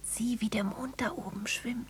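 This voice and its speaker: A woman playing a dreamy, tender young woman in a German radio play, speaking in hushed whispers. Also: a little high, fairly even intonation, normal speed, background noise, close to an everyday voice.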